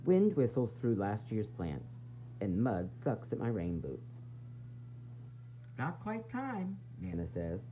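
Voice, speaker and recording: Very animated man reading a children's storybook. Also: lowish voice, slow. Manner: dramatic, sing-song phrasing with varied emphasis. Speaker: teacher reading a picture book aloud. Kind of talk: expressive children's storybook read-aloud. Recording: dull lo-fi sound, faint noise and hum.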